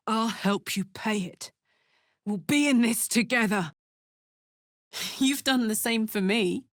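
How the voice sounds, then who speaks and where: A woman playing a big, horned hellspawn warrior in a video game, brash and casual, warm-hearted, playful and hot-tempered. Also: medium pitch, no room sound, clean studio sound. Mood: earnest, friendly. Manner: brash, casual, emotionally open. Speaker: video game character voice actor. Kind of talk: expressive video game voice acting.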